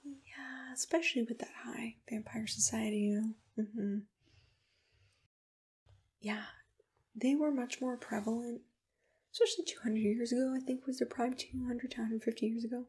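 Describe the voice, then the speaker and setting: A woman playing a gentle, soothing monster matchmaker in a whispered ASMR roleplay, unhurried and reassuring. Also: fairly high pitch, steady tone. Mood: chatty, confiding. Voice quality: breathy, whispery. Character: soft-spoken, accommodating female monster matchmaker. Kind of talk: ASMR personal-attention roleplay, mostly whispered.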